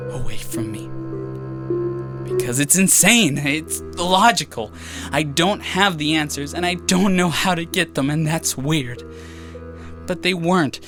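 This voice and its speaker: A man's voice: on the high side, close to an everyday voice. A man playing a brooding haunted young man. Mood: troubled. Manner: brooding, self-questioning, halting restarts. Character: young man haunted by memory gaps, obsessive. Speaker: lead character's monologue. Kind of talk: audio drama inner monologue. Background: music.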